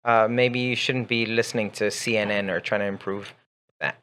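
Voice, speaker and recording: A man's voice: lowish voice, fairly even intonation, moderate pace, fairly bright tone, clean tone. A man whose first language is Spanish co-hosting a casual chat about learning English, easygoing, reading out listener questions. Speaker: co-host, English teacher.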